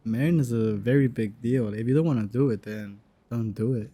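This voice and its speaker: A young adult gameplay commentator with a mellow voice, recorded dry and close. The reactions are casual, slangy and laid-back. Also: medium pitch, brisk, no room sound.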